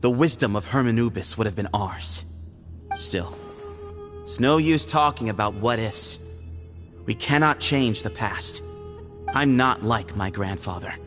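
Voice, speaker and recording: An actor playing a calm, shrewd young man, heir to a temple, who speaks in a matter-of-fact way, in a game cutscene. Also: lowish voice, a little slow, pauses often, soft background music, lightly acted.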